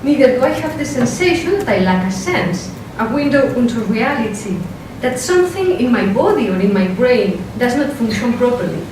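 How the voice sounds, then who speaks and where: A woman philosopher with a strong Spanish accent giving a workshop talk, careful and measured, with hesitant fillers when she speaks off the script. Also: a little high, big pitch swings. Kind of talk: academic lecture, partly read from a script. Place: lecture room. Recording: echoey lecture hall, mic from a distance, noisy.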